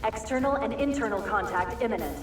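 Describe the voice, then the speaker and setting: Official ship pa voice, clipped and urgent, super echoey and far off, loud music. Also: female voice, a little high, animated, brisk. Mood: brisk, urgent. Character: female warship announcer, formal, urgent, controlled. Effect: shipwide loudspeaker. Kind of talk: public address announcement in video game.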